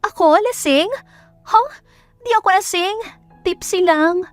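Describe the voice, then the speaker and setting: A woman's voice: very high-pitched, takes it slowly, faint music behind, voice pushed up. A woman voicing a bold, stressed young businesswoman in an audio drama, speaking emphatically and mixing English into Tagalog. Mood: tipsy, curious.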